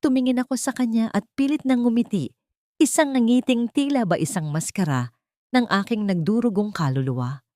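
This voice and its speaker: A woman reading a scripted first-person story in a solemn, melodramatic, deliberate manner. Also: a little high, warm tone, no echo, clean studio sound.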